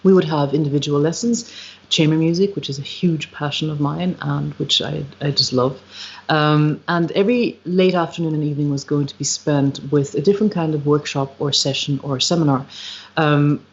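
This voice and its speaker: A woman with an Irish accent, a musician being interviewed on a podcast, speaking reflectively and searching for her words with frequent pauses and ums. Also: sits low, fairly even intonation. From Ireland. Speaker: guest, musician and retreat founder. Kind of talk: podcast interview, thoughtful conversation. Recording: slightly dull, lo-fi livestream audio.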